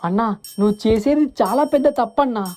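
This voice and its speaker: A woman voicing an earnest, pleading younger brother from a poor fishing family in an animated moral story. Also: fairly high pitch, fast, natural voice. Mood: worried pleading.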